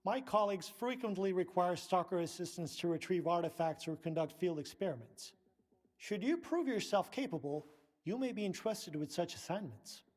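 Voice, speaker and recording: A man's voice: sits high, animated, normal speed, a little mellow. A man playing a pompous scientist and research director in a video game, speaking formally, precisely and with condescension. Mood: formal, stern. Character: pompous middle-aged male scientist, director of research center.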